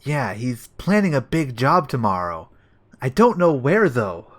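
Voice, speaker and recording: Man as dim male detective, very lively intonation, befuddled, whiny, earnest, comedy audio drama. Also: mid-range voice, unhurried. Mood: befuddled, defensive. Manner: befuddled, whiny, literal-minded. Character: dim, easily confused male police detective, earnest and bumbling. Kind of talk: comedic audio play acting.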